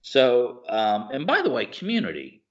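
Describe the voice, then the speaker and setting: A man co-hosting a podcast, chatting casually and enthusiastically, halting and searching for words as he rambles. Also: mid-range voice, huge pitch swings. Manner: informal, enthusiastic. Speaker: podcast co-host. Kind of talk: casual chat about films and TV shows. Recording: slightly dull, lo-fi podcast audio.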